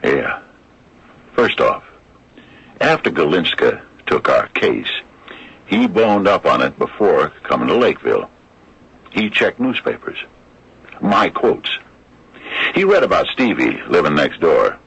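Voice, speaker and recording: A dull old radio recording carries a weary American small-town sheriff. His voice is extremely low and gravelly. He speaks deliberately, with bitter, folksy bluntness and lively swings in pitch. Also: heavily acted. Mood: firm. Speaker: radio actor. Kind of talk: old-time radio drama acting.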